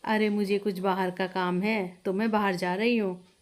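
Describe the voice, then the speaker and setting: A woman's voice: mid-range voice, little pitch movement, moderate pace, pitched below the performer's own voice, lightly acted. A woman voicing a chatty eldest sister-in-law who hands out chores in a family story. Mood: matter-of-fact.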